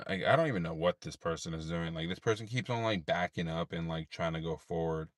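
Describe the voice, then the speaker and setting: A tired man with a deep voice, rambling in a laid-back way with many fillers while livestreaming from his parked car at night. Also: brisk, no echo, clean studio sound. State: tired, unable to sleep. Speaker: streamer. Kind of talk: late-night livestream chat.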